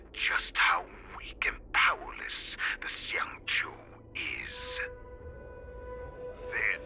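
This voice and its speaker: A very deep, menacing wolf warlord from a fantasy game, growls with slow, deliberate relish. The delivery is breathy and mocking, swinging widely in pitch, with music playing behind. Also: male voice, full character voice. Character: menacing bloodthirsty wolfish warlord, sly and taunting. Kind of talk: dramatic game villain acting. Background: prominent game music.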